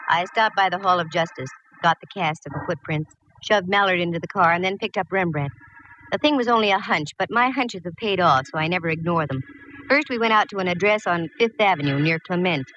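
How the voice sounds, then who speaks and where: A woman's voice: fairly low pitch, a little fast, a bit bright, natural voice. An American woman playing a wisecracking, confident private eye in a vintage radio detective drama, narrating and giving orders with wry ease. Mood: cool narration. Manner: breezy, wry, commanding. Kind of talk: 1940s-50s radio detective acting and narration. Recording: muffled, noisy old radio recording.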